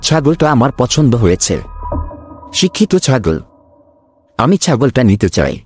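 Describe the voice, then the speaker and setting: A man's voice: medium pitch, very animated, a little slow, a little mellow, slight background noise. A man voicing a gullible, self-important older village uncle in a comedy cartoon, with a pompous, satisfied manner. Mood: interested, haggling.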